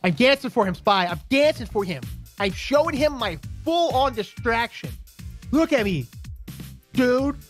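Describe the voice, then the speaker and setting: A man's voice: very high voice, very animated, unhurried, soft background music. A young man clowning and exclaiming through playful, improvised gameplay roleplay commentary. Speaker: gaming video player.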